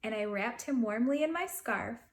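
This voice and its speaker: A woman's voice: a little high, normal speed, roomy sound. A woman voicing a fond, imaginative little girl who tells her own storybook tale expressively. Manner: expressive, dramatic storybook telling.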